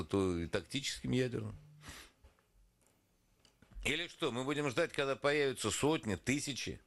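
Animated, forceful Russian-speaking man. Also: on the high side. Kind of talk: emphatic public statement.

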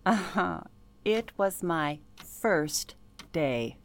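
An actress playing a composed, politely firm elderly former telephone operator in a radio drama, with measured, formal phrasing. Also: female voice, on the low side, lively intonation, dry recording, full character voice. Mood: earnest. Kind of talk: radio drama interview acting.

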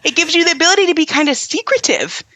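A woman hosting a casual podcast interview, talkative and effusive, quick to affirm her guest. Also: extremely high pitch, expressive, sharp tone, no echo. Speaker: podcast host.

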